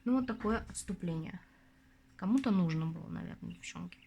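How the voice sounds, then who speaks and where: A young adult woman hosting her own channel as a tarot reader, chatting casually to her viewers, her voice going hoarse. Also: fairly low pitch, fairly quick, mellow, slight background noise. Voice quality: husky. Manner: chatty, confiding, addresses viewers as girls. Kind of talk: casual advice talk to viewers.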